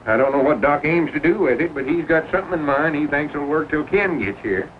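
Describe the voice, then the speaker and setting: A man plays a folksy Southern storekeeper with a slightly high male voice. His phrasing is rustic and drawling. The vintage film audio is thin and narrow with faint hiss. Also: animated, brisk, lightly acted. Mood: matter-of-fact. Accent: rural Southern US. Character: folksy, obliging small-town country storekeeper. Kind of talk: 1940s radio-comedy acting. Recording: narrow old film soundtrack, light hiss.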